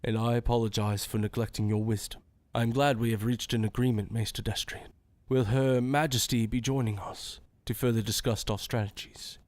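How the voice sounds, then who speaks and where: An actor playing a young, wary sheriff in a fantasy audio drama, formal and grave. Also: male voice, medium pitch, little pitch movement, brisk, a little mellow, dry recording, lightly acted. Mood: earnest.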